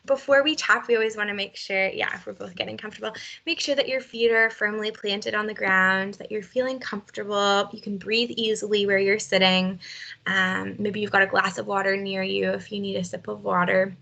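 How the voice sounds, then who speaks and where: A woman with a bright, gravelly voice gently guiding a tapping exercise. She speaks encouragingly, giving short phrases for others to repeat. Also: mid-range voice, fairly even intonation, fairly quick, dull audio. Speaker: tapping session leader. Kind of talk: guided emotional freedom technique session.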